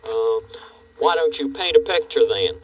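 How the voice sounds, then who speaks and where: High-pitched, animated, folksy puppet neighbor. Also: male voice, measured pace, recorded from a distance, dull audio, full character voice. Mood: concerned. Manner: folksy exclamations, boyish enthusiasm. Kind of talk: children's puppet show acting.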